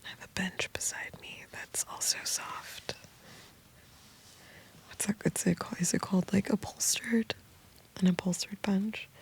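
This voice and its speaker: A woman whispering soft, meandering, intimate late-night rambling from the floor of a small sound booth. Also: mid-range voice, steady tone, a little slow, creaky, long pauses. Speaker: host.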